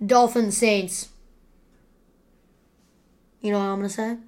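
A woman hosting a casual sports podcast, talking loudly and excitably and teasing her guest. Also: medium pitch, slow pace. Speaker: podcast host. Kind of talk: casual sports picks chat.

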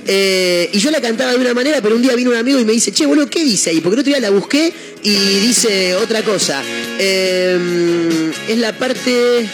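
This is a man with an Argentine accent hosting a casual radio show. His voice sits fairly high. He chats in a halting, easygoing way, often trailing off and correcting himself, while music plays clearly underneath. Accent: Argentine Spanish. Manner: chatty, halting, many fillers and self-corrections. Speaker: radio host. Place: radio studio.

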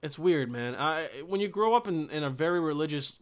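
Young bosnian-born guy, casual rant, lots of swearing and restarts, kinda high voice. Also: expressive, brisk, clear, resonant tone. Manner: profane, restarts sentences, slangy. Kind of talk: casual rambling monologue.

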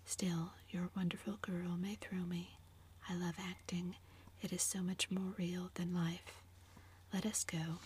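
A woman whispering as a languid witty gentleman. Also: on the low side, level tone, measured pace, slight background noise, close to an everyday voice. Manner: whispered, epigrammatic, drawling. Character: languid witty aristocratic older English gentleman.

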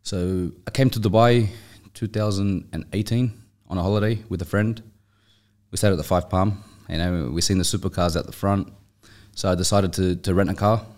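A man with a deep voice and an Australian accent talks as an interview guest. He is conversational, telling things like a storyteller in a casual podcast style. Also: steady tone, normal speed, a little mellow. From Australia, raised in Sydney. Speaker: interview guest, business owner.

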